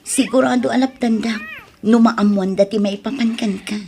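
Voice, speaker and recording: A woman's voice: fairly high pitch, steady tone, normal speed, darkish tone, a little hiss or hum, natural voice. A woman with an Ilocano accent playing an anxious, hesitant woman in an emotional audio drama. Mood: hesitant, worried.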